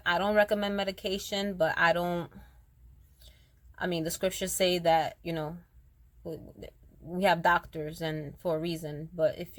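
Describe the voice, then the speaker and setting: Raspy low-voiced woman reciting spoken word. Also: no room sound. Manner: earnest, direct, addresses listeners as y'all. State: raspier than usual, throat slightly rough.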